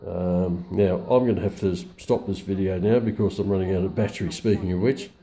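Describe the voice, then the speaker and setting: A man's voice: sits low, fairly even intonation, a little fast, warm tone. A man presenting a casual, unscripted product walkthrough in a hesitant, matter-of-fact way. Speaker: presenter of a radio review video.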